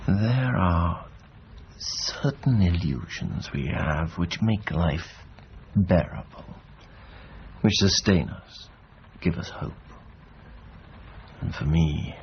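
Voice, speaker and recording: Dull radio drama recording, disillusioned middle-aged man, very low voice, halting, bitter, faint hiss. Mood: weary, melancholy. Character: weary, disillusioned former adventurer, bitter and self-blaming.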